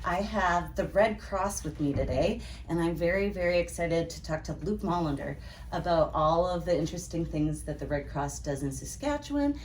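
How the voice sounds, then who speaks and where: A woman hosting an interview show, chatty and animated and quick to laugh. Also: on the low side, recorded from a distance, slight background noise. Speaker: show host. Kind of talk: casual interview chat.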